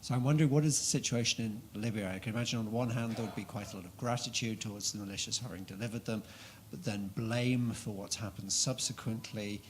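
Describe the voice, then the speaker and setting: A man's voice: fairly low pitch, fast talker, hardly any pauses, a little hiss or hum, low-quality recording. A man in the audience at a policy event, putting an unscripted, articulate question to the speaker. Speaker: audience member asking a question.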